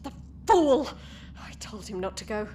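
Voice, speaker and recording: A woman's voice: mid-range voice, very animated, moderate pace, warm tone, a little hiss or hum, natural voice. A woman voicing a vain, scheming empress villain who gloats theatrically and snaps into sudden outbursts. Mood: gloating. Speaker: voice actor. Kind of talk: hobby audio drama acting.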